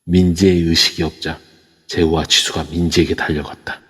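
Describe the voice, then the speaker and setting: A man narrating an audio drama in an even storytelling manner. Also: low voice, normal speed, husky. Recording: echoey long reverb.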